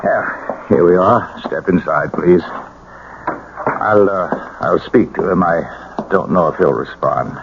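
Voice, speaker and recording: A man acting in a radio drama as a humane, weary military doctor, speaking in a measured, frank, concerned way. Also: low voice, vocal fry, heavily acted. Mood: businesslike, gentle. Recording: muffled, hissy old radio broadcast.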